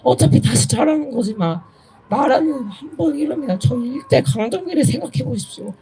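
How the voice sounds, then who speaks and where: A young woman giving an earnest, pleading protest speech, halting and correcting herself as she goes. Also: high-pitched, lively intonation, a crowd in the background. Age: young adult. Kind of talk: impromptu political rally speech. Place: outdoors at a street protest.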